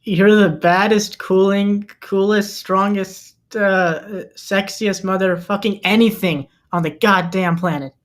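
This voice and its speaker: Man playing an exasperated, deadpan amateur cameraman. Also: high voice, slow pace, hardly any pauses. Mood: hesitant. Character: exasperated male amateur cameraman, Bigfoot's practical friend. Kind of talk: comedic screenplay table read.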